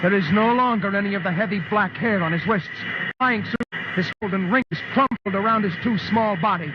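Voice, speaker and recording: An actor playing a frightened scientist test pilot in an old-time radio drama, tense and urgent in a clipped reporting manner. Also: male voice, sits high, a little fast, close to an everyday voice. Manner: clipped reporting that breaks into panicked cries. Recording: noisy, muffled old radio broadcast.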